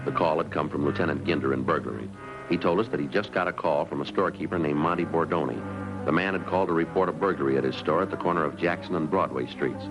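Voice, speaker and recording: An actor playing a weary, deadpan American police detective sergeant in clipped 1950s crime drama style. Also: male voice, rumbling low. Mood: flat narration. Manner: deadpan, matter-of-fact, dry. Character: terse, weary police detective sergeant, male, middle-aged. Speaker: lead detective and narrator. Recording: muffled 1950s TV soundtrack, music behind.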